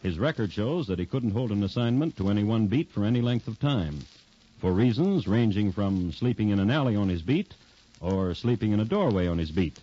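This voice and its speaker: An actor playing a wry, deadpan true-crime host, narrating a scripted 1950s radio show with dry irony. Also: male voice, lowish voice, brisk. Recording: dull 1950s radio recording.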